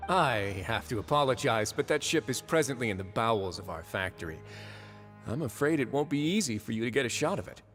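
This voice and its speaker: An actor playing a composed, wry duke of a fortress in a video game, courteous and formal with a dry teasing edge. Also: male voice, medium pitch, lively intonation, a bit bright, faint music behind, natural voice. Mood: polite refusal. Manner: courteous, dryly teasing, unhurried formal phrasing. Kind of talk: video game character dialogue.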